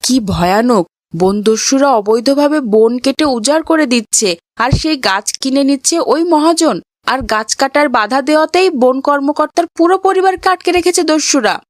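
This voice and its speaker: A woman's voice: sits high, expressive, moderate pace, no room sound. A woman playing an earnest, principled young boy in a cartoon. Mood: alarmed. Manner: earnest, righteous. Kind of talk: cartoon voice acting.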